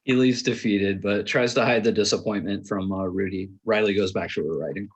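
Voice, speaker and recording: Husky man reading stage directions. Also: lowish voice, steady tone, a little fast. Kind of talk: scripted narration at a table read.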